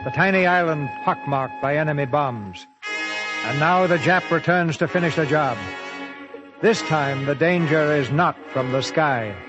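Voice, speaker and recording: A man's voice: on the high side, a little slow, natural voice. A man acting as an urgent wartime narrator in 1940s radio drama, ominous and emphatic. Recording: muffled 1940s radio broadcast recording. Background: dramatic music.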